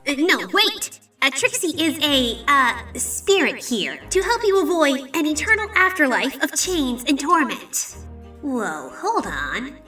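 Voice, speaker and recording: A woman's voice: high-pitched, pushed and bright, far from the mic, heavily acted. A woman voicing a boastful, flustered ghostly pony magician in a cartoon comic dub, stammering and exclaiming theatrically. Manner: theatrical, stammering, exclaiming, refers to self in third person.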